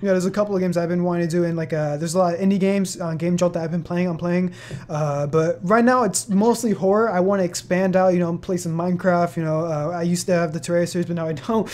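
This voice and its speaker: A man's voice: high-pitched, fairly even intonation, fast talker, darkish tone. A seventeen-year-old young man hosting a casual question-and-answer chat on his channel, rambling with many fillers and laughs. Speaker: channel host.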